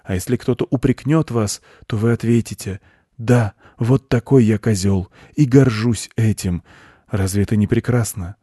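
A man's voice: on the low side, normal speed, warm tone, breathy edge, no room sound, clean studio sound, voice pushed up. A man voicing a pompous, persuasive male goat who preaches solemnly in a children's story. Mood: proud, exalted. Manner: solemn, self-important, preachy.

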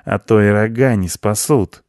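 A man's voice: lowish voice, a little slow, darkish tone, no echo, clean studio sound. A man voicing a gloomy, indignant, cynical tomcat who mutters and hisses in a children's story. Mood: threatening. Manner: muttering, hissing, grumpy.

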